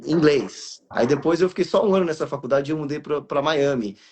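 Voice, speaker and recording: A man's voice: on the high side, fairly quick, darkish tone, slight background noise. A Brazilian actor in his thirties, speaking in a casual live interview and telling his career story with many restarts and fillers. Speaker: guest actor and voice actor.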